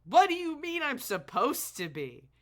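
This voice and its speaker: A woman's voice: high voice, wildly swooping pitch, measured pace, a bit bright. A woman streamer giving casual, blunt live commentary on a video game. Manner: reacts bluntly, talks to the game characters. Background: faint game music.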